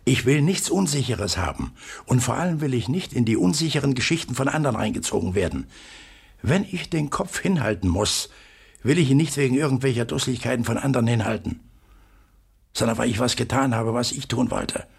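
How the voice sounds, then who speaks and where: An actor playing a stern, stubborn middle-aged father in a radio drama, terse and authoritative, with a husky, gravelly voice. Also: medium pitch, animated, fairly quick, full character voice. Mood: firm, defiant.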